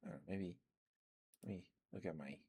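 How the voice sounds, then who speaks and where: A man rambling in a soft, meandering ASMR chat, with stammered restarts and fillers, close to the microphone. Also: low-pitched, normal speed, slight background noise. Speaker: ASMR streamer.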